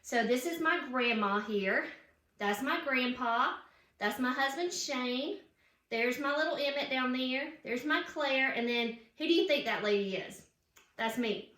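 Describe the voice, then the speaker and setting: A woman's voice: sits high, measured pace, sharp tone. A woman reads a children's picture book aloud with chatty asides, putting questions and emphatic cheers to young listeners. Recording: roomy, echoing room sound.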